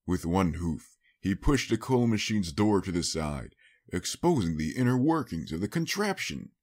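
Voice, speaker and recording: A man's voice: sits low, sing-song, normal speed. A man reading a scripted story as an audiobook narrator, in a dramatic storytelling style.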